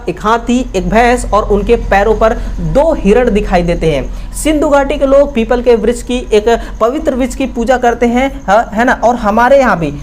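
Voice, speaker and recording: A woman's voice: on the high side, darkish tone, slight background noise. A woman with an Indian accent teaching an exam-review lesson, explaining instructively with repeated tag questions and repeated answers.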